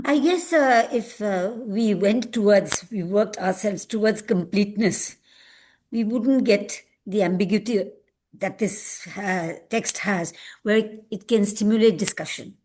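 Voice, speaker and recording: A woman's voice: medium pitch, a little slow, darkish tone. A woman with an Indian accent chatting in an informal book club discussion.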